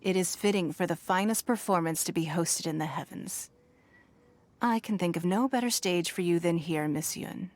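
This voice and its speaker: A woman voicing a shrewd, wealthy female city leader who speaks in a measured, authoritative, formal way. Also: on the low side, darkish tone, no room sound, close to an everyday voice. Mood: calm. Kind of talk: game cutscene voice acting.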